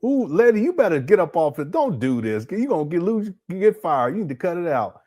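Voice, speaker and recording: A man's voice: sits high, very animated, fairly quick, mellow. A man commenting live on a video clip, laughing often and teasing with sarcasm. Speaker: commentator reacting to a livestream.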